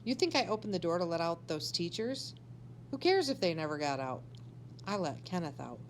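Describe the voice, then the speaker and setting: A woman's voice: on the low side, very animated, normal speed, rough recording. A woman reader plays a shifty schoolgirl from a children's story. The girl talks bluntly and defiantly, challenging whoever listens, with faint noise in the recording.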